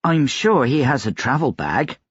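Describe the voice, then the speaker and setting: Man doing a high-pitched, frightened laundress. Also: big pitch swings, a little slow, fairly bright tone, slightly rough, no room sound, lo-fi sound, full character voice. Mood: polite, anxious. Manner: anxious, pleading. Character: frightened, meek young laundress woman. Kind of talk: audiobook character dialogue.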